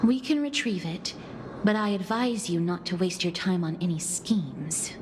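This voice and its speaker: A woman's voice: lowish voice, lively intonation, unhurried, noisy background. An actress playing a composed, guarded young woman in a video game, speaking formally and seriously with a protective air, over music. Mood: wary. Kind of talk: game character voice acting. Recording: game stream with music underneath.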